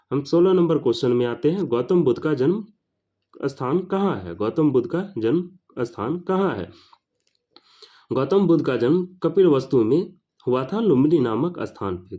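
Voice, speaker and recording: A man's voice: on the high side, mellow. A man teaches like an exam tutor, in a didactic question-and-answer manner, repeating each question and its answer. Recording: dull lo-fi sound.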